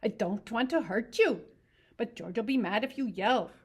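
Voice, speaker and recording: A woman's voice: a little high, lively intonation, moderate pace, breathy edge, voice pushed up. Woman voicing a childlike, earnest big farmhand. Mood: angry panicked. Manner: childlike, earnest, rural grammar. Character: simple-minded big male farmhand, gentle but panicky. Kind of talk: audiobook reading.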